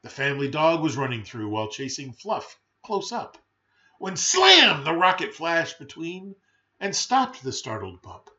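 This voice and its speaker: A man's voice: fairly high pitch, big pitch swings, a little slow, pushed and bright, dull audio. A man reading a children's rhyming picture book aloud in a theatrical, playful, emphatic way with brief chatty asides.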